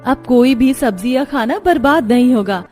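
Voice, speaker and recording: A woman's voice: high voice, lively intonation, moderate pace, darkish tone, soft background music. A woman voicing an older Indian mother-in-law in a Hindi cartoon family story. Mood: delighted.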